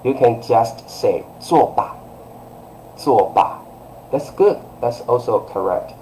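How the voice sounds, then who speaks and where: A man's voice: mid-range voice, lively intonation, slow pace, warm tone, slight background noise, low-quality recording. A man in his thirties giving a live language lesson from a small room, patient and repetitive, with lots of okays and uhs. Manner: patient, repetitive, fillers like okay and uh. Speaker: Chinese teacher. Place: small room by a curtained window. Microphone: webcam close-up.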